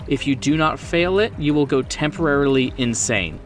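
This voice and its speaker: A man's voice: on the high side, lively intonation, normal speed. A man running a horror tabletop roleplaying game as game master, explaining rules and describing scenes off the cuff in a conversational way. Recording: distant mic, clear music behind. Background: music bed.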